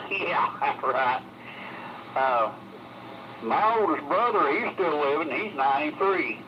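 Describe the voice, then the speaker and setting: Dull CB radio signal, clear static noise, man, Southern US accent, casual chat. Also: on the high side, lively intonation, takes it slowly. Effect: CB radio transmission. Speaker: CB radio operator.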